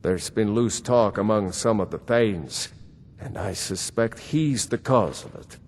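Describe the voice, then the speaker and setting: Man acting a slow, stern court steward. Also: lowish voice, slight background noise, natural voice. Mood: stern, rebuking. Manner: formal, measured, admonishing. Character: stern, loyal male steward of a fantasy court. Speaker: video game character. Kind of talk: scripted game dialogue. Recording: long hall reverb.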